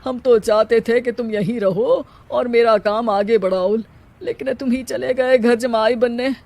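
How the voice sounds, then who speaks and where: A woman voicing a long-suffering middle-aged father, measured and dryly resigned. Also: fairly high pitch, steady tone, vocal fry, dark tone. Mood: resigned, wry.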